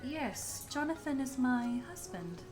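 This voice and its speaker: A woman's voice: high-pitched, lively intonation, slow. A woman playing a gracious, teasing young Victorian wife and hostess in a scripted audio roleplay, with polite, playful phrasing. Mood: welcoming.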